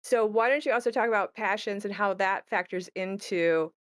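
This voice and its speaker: A woman's voice: mid-range voice, moderate pace, no echo, studio quality. A woman who creates games, talking casually and thinking aloud as she explains design, often rephrasing as she goes. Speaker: game creator in conversation. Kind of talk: casual discussion of game design.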